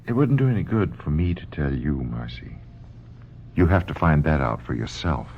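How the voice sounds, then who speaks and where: Radio-play psychoanalyst: very low, expressive voice, grave and hesitant, on a muffled recording. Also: male voice, moderate pace. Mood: patient, guarded. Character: conflicted psychoanalyst, grave, patient and lovelorn. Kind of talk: radio drama acting. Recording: muffled lo-fi radio drama, faint noise.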